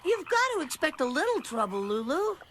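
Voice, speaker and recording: A woman's voice: high voice, big pitch swings, fairly quick, heavy background noise. A woman voicing a cocky, optimistic young boy with big schemes in a children's cartoon. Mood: reassuring, boastful.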